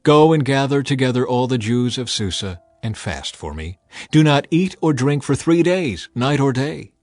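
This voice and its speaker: A man voicing a resolute young queen in a solemn, measured manner for a dramatized scripture reading. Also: medium pitch, expressive, dry recording, lightly acted. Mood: solemn resolve.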